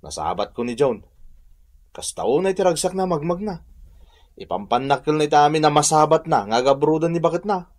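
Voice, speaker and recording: A slightly high-voiced village husband in a radio comedy, highly animated. He is nosy and sceptical, questioning everything in a Northern Luzon Filipino accent. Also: fairly quick. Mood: curious, sceptical. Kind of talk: radio comedy drama acting.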